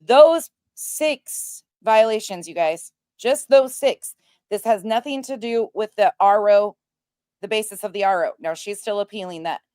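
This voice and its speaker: A woman's voice: medium pitch, measured pace, darkish tone, no room sound. An American woman giving casual commentary as an online host, emphatic and explanatory, repeating points for stress. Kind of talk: casual commentary, reading documents aloud.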